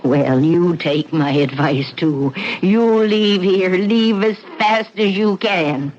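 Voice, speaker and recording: A grim old widow of doom. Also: female voice, lowish voice, takes it slowly, a little mellow. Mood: foreboding. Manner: grim, insistent warnings. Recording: muffled old radio recording, faint hiss.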